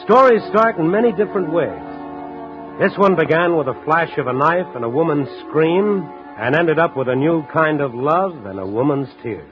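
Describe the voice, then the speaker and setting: Actor as a world-weary radio noir reporter, muffled. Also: male voice, fairly high pitch, animated, moderate pace, close to an everyday voice. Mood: wry, somber. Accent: American. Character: world-weary male night-beat newspaper reporter, reflective, hardboiled. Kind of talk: 1950s radio drama acting, first-person noir narration. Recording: muffled vintage radio recording with music.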